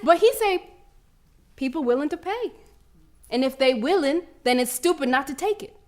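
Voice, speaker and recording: A woman's voice: high voice, animated, moderate pace, a little mellow. A woman playing a fierce, cocky preteen American girl runner in a live theatrical monologue, telling her story in brash, slangy street talk. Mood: animated, boastful. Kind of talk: first-person theatrical monologue performed live.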